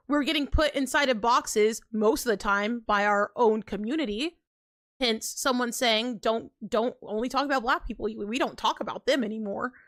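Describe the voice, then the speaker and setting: A young woman streaming live, chatting casually with viewers in an animated, emphatic way full of fillers and quick pitch jumps. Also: dry recording, studio quality. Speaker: livestreamer.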